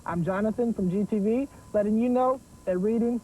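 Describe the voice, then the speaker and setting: A woman with a mellow, medium-pitched voice reads a scripted review for a youth show. Faint noise sits behind her. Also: fairly quick, rough recording. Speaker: teen TV book reviewer.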